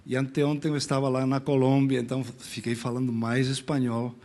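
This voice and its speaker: A man's voice: mid-range voice, fairly quick. Middle-aged male lecturer, Spanish accent, easygoing and self-mocking, rambling conference talk. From Spain. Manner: relaxed, self-deprecating, rambling with restarts.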